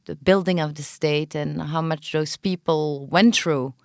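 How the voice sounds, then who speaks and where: A woman playwright and film director, talking earnestly in an interview and pausing often to find her words. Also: low-pitched, steady tone, no echo, studio quality. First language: not Hebrew. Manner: earnest, searching for words with frequent pauses. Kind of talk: podcast interview conversation.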